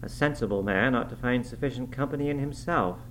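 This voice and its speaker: Offended gentleman guest, acted by a man, for a classic novel audiobook. Prim, formal, indignant delivery over audible hum. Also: mid-range voice, fairly quick, lightly acted. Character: prim, offended gentleman lodger, wordy and self-important. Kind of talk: character dialogue in an audiobook reading.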